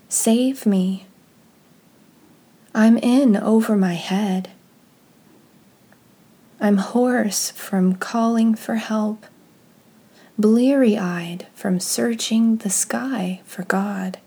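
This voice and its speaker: A woman with a soft, breathy, husky voice reads scripture and leads guided prayer in a hushed, unhurried, meditative way. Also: medium pitch, steady tone.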